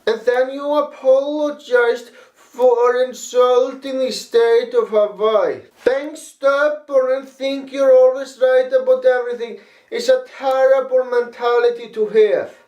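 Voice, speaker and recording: A man's voice: extremely high pitch, fairly even intonation, takes it slowly, few pauses, darkish tone, roomy sound, low-quality recording. A man reading a prepared critique in a blunt, profane, lecturing manner. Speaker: video critic. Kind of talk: reading a prepared response video.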